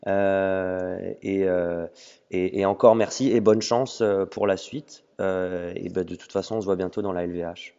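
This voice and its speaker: A man's voice: low voice, fairly even intonation, a little slow, warm tone, clear, resonant tone. A man hosting a casual interview over an audio call, asking questions with frequent hesitation sounds. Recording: lo-fi audio with a long reverb.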